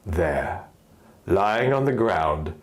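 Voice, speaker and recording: A man reading scripted fantasy fiction as an expressive story narrator. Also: sits low, drawn-out words.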